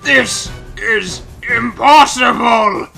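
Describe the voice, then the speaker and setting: A man voicing a shocked, indignant alien warrior hero with melodramatic, shouted demands, in a fan fiction reading. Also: very high voice, extremely slow, gravelly, soft background music, pitched above the performer's own voice, full character voice.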